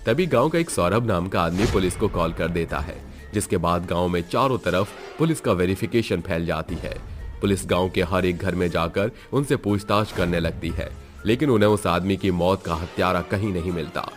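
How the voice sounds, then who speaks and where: A man's voice: sits low, normal speed, soft background music. A man narrating a scripted horror story in a dramatic storytelling style.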